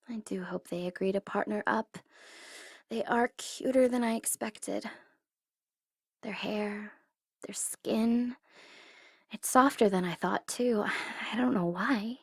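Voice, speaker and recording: A woman's voice: mid-range voice, gravelly, a little mellow, husky, no room sound, clean studio sound. A woman playing a smug, secretly tender female supervillain mastermind in an intimate, theatrical ASMR roleplay, teasing and easily flustered. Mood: musing, fond.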